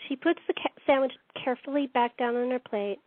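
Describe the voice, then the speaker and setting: A woman's voice: high-pitched, a little fast, no room sound. Woman narrator on a tinny line. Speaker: host and narrator. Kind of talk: scripted radio play narration. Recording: dry, tinny phone-line audio.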